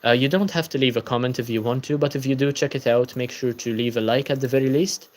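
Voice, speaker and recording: A man's voice: medium pitch, fairly even intonation, fast. A tired young man hosting a casual book-channel livestream, chatting and answering viewer comments.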